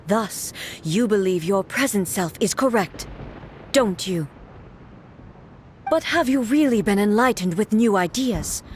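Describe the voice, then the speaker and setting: A woman voicing a weakened but resolute goddess ruler who speaks in a formal, measured, grave way, in game dialogue. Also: medium pitch, darkish tone, slight background noise, lightly acted. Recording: game dialogue over faint music.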